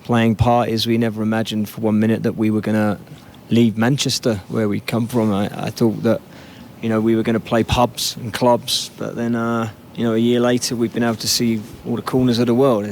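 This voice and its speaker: A man's voice: on the low side, level tone, brisk, few pauses, darkish tone, a little hiss or hum. A man from England, a musician answering interview questions in a laid-back, reflective way. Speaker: musician guest.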